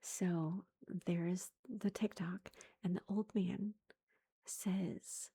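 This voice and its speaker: A woman in her thirties, a mother, telling a story in a soft whisper, chatty and amused. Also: on the low side, fairly even intonation, very slow, husky.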